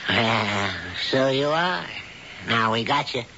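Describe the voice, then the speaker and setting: A man's voice: medium pitch, animated, slow pace, music in the background. An actor playing a secretive, domineering old male witch in a 1970s radio drama. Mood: feigned concern. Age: older. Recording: dull, hissy 1970s radio broadcast.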